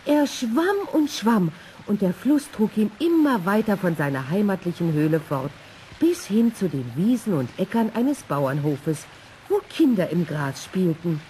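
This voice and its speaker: A woman reading a children's story in an expressive, scripted narrating style. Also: medium pitch, a little slow, a little hiss or hum.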